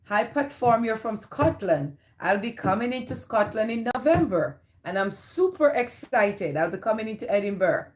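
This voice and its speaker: A woman's voice: on the high side, expressive, moderate pace, few pauses, a little mellow. A woman with a Jamaican accent hosting a casual livestream, greeting viewers by name and answering their comments. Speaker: livestream host. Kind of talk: casual live chat reading viewer comments.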